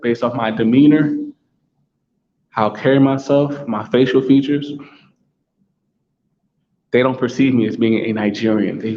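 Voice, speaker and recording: A man's voice: mid-range voice, a little mellow. Casting: American man, solo talk host, emphatic and repetitive, mid-range voice, slightly dull lo-fi audio with faint background hum. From United States. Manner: repetitive, emphatic, restating points. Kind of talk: solo monologue.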